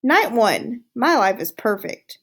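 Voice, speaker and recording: Woman voicing an animated, smug little hamster. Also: fairly high pitch, takes it slowly, breathy edge, full character voice. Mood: smug contentment. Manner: boastful, self-satisfied, exclamatory. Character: smug, excitable, easily disgusted male pet hamster. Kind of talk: storybook read-aloud, diary narration.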